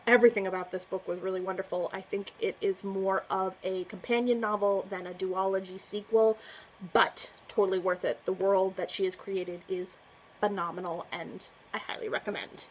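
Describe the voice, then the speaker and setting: A woman's voice: mid-range voice, little pitch movement, moderate pace, rough recording. A woman talking casually to camera as a book vlogger, chatty and earnest, often trailing off and restarting. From United States. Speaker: booktuber. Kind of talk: casual book tag vlog.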